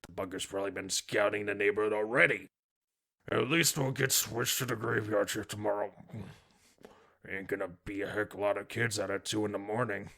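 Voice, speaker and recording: An actor playing a gruff, cynical, hot-tempered male police detective in a comic dub, raspy, blunt and grumbling. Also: on the low side, steady tone, a little fast, bright, forceful tone, full character voice. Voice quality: gravelly, raspy.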